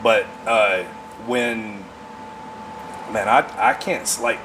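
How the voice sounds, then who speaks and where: A man in his forties talking casually as a podcast guest, in an earnest, halting way as he thinks aloud. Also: fairly low pitch, steady tone, noisy background. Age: 40s. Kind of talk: casual conversation.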